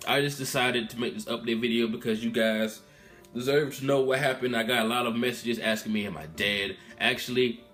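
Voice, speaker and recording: A man's voice: medium pitch, steady tone, brisk, faint music behind. A man in his twenties talking casually to his viewers in a candid, rambling vlog update, recorded in a room at his mother's house. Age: 20s. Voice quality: loud voice. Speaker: vlogger.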